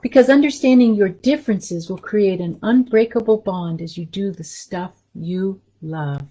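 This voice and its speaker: A woman's voice: mid-range voice, normal speed, warm tone. A woman hosting a story time for young children, reading aloud and chatting casually in simple, encouraging language.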